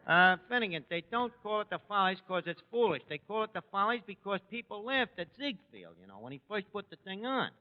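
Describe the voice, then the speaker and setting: Brash new york barkeep, 40s radio comedy, high voice that swoops around, wisecracks. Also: male voice, sing-song, fairly quick, hardly any pauses. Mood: explaining. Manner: wisecracking, colloquial, mangled grammar. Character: brash, malaprop-prone New York tavern manager. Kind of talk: 1940s radio comedy acting. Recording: dull restored 1940s radio, hall reverb.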